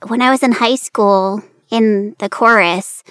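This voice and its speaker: A woman's voice: mid-range voice, fairly even intonation, measured pace, a bit bright, dry recording. A woman in her thirties, a voice actor, chatting in a bubbly, giggly way. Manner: giggly, bubbly, acts out quotes. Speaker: voice actor and interviewer. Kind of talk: casual conversation.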